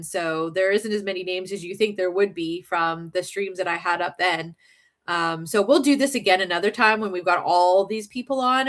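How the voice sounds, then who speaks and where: A woman with a slight Southern accent hosts a relaxed livestream, chatting with viewers in a rambling way. She fills her talk with ums and little laughs, and her voice sits at a middle pitch. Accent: slight Southern American. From northern United States. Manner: chatty and rambling, many fillers and giggles. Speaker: livestream host. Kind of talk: casual livestream chat.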